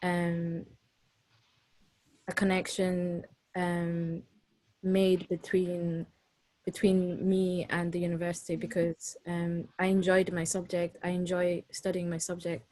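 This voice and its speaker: Slow, flat-voiced woman from Zimbabwe. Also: lowish voice, low-quality recording. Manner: soft-spoken, halting, many ums. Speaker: student panelist. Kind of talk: panel discussion.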